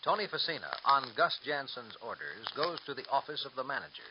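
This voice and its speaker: A man narrating a 1940s radio drama in a measured, scripted way. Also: medium pitch, sing-song. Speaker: announcer. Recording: dull old radio recording with clear noise and clicks.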